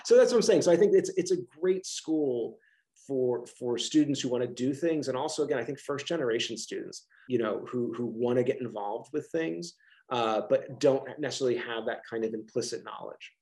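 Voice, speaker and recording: A man's voice: mid-range voice, animated, brisk, warm tone. A middle-aged man with a Philadelphia accent, a college professor answering interview questions casually on a video call, with many restarts and fillers.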